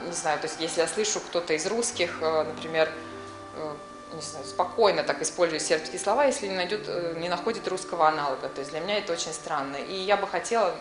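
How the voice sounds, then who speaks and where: A young woman from Russia answering an interview in an office, talking quickly with frequent hesitations. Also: lowish voice, reverberant, background noise. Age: young adult. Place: office with windows and shelves, indoors.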